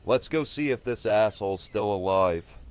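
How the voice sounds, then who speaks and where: An actor playing a principled male leader of survivors in an audio drama, with a firm, deliberate delivery. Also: fairly low pitch, clear, resonant tone, natural voice. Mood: matter-of-fact.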